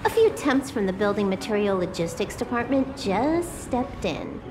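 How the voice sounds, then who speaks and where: A woman's voice: mid-range voice, sing-song, normal speed, music in the background, lightly acted. A woman voicing a petulant, cocky young hacker in a video game, talking in a casual, flippant way. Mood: flippant, confident. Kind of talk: scripted game dialogue.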